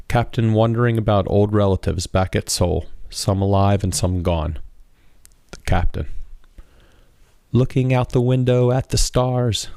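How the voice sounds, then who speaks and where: A man's voice: on the low side, mellow, no room sound, clean studio sound. A man reading his own rhymed spoken-word verse with a rhythmic, rhyme-driven delivery. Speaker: author and poet reading own work.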